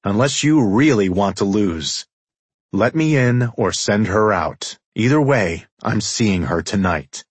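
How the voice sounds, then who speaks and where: A man's voice: fairly low pitch, lively intonation, takes it slowly, breathy edge, dry recording, full character voice. A man voicing an arrogant senator in an audiobook, cool, cutting and threatening. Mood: cool threat. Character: arrogant, cold, commanding male senator.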